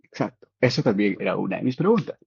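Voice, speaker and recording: A man's voice: on the low side, very animated, fast, vocal fry, husky, no echo, highs cut off. A man from Peru with a Peruvian Spanish accent, a dental technician casually hosting a live demo, friendly and laughing often. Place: training academy in Spain.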